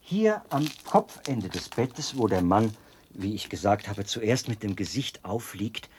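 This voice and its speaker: An actor in a radio play playing a devoted, zealous penal colony officer who explains things in a talkative, pedantic way. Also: male voice, lowish voice, animated, measured pace, darkish tone. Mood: pedantic, keen. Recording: noisy binaural radio play recording with clicks.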